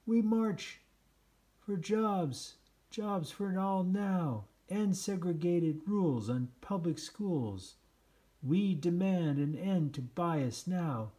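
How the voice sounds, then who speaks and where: A man plays a fervent protest marcher from a storybook. The chant is loud, insistent and slow, pushed well up in pitch. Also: lively intonation. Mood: defiant, urgent. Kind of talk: storybook read-aloud.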